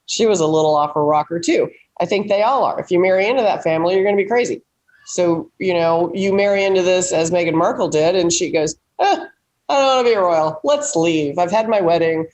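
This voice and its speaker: A woman with an American accent and a slightly low-pitched voice talks as a panelist. She is blunt and salty, using folksy turns of phrase in casual, opinionated chat. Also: brisk, darkish tone.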